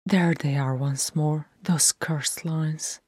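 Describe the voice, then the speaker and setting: A loving, firm dwarf mother of a grown son in a fantasy audiobook, acted by a woman. She speaks in a low, measured voice with stately authority and affection. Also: dark tone, no room sound. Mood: weary, grave.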